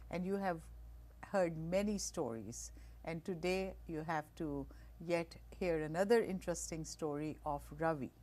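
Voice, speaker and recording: Woman talk show host, friendly and chatty, voice a touch low. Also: a little mellow.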